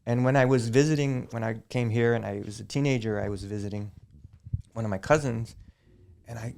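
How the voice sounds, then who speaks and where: Man, slight canadian accent, gravelly, medium pitch, chatty storyteller. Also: darkish tone, slight background noise. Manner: chatty, storytelling, often halting. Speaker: high school teacher, guest. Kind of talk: casual interview chat.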